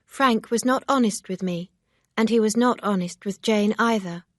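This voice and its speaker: A woman's voice: mid-range voice, normal speed, no room sound, studio quality, pitched above the performer's own voice, natural voice. A woman reading an audiobook, voicing a proud, earnest young woman who speaks with firm emphasis.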